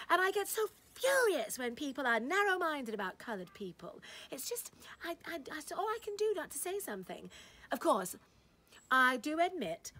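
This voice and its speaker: A woman's voice: high voice, a bit bright, full character voice. A woman voicing a gushing, condescending society lady at a party, breathless and fluttery, with giggles and gasps, in a dramatic short-story reading.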